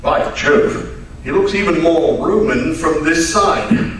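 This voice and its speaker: A man's voice: a little high, slow. A man playing a grumbling, incredulous detective's companion in an old-time radio drama, with a blustery, exclamatory delivery. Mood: astonished, skeptical. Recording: echoey live stage, distant mic, faint noise.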